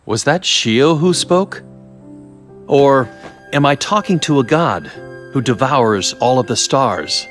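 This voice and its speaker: A man playing a curious, evasive doctor in a video game, musing and teasing with theatrical pauses. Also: mid-range voice, lively intonation, a bit bright. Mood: playful curiosity. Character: wry, curious, evasive male scientist doctor. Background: faint music.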